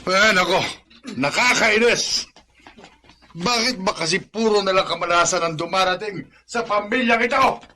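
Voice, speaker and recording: A man's voice: high-pitched, moderate pace, sharp tone, slight background noise. A man acting in a radio drama as a bitter, frustrated middle-aged father who grumbles in exasperation. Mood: bitter, scornful.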